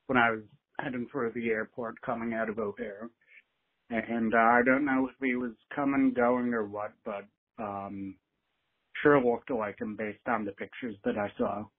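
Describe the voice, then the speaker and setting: An actor playing an ordinary man leaving a hesitant, halting tip on a phone voicemail in an audio drama. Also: mid-range voice, slightly rough. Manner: halting, unsure. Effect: phone voicemail filter. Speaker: hotline caller. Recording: tinny, muffled phone voicemail.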